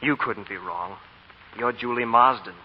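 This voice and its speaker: An actor playing a proud, unbending gentleman, formal and commanding, in 1940s radio drama style. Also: medium pitch, measured pace, slight background noise, natural voice. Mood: bitter resolve. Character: stern, icily courteous Southern gentleman, proud and unbending. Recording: muffled 1940 radio broadcast, music behind.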